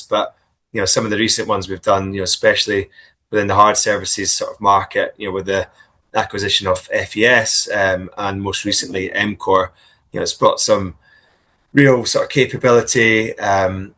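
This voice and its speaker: A man with a Scottish accent, in his thirties, answering interview questions in a friendly, halting way with frequent restarts. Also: on the low side, pushed and bright. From Scotland. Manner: friendly, hesitant, many restarts and fillers. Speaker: guest, business leader. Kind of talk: casual interview conversation.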